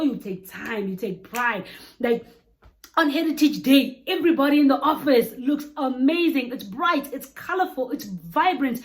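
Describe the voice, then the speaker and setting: A woman's voice: high voice, a little hiss or hum. A young Congolese woman with a Congolese accent, chatting animatedly to camera in a casual vlog, often laughing. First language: Kikongo-speaking family; Swahili. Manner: animated, chatty, breaks into laughter and mimicked voices. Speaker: vlogger.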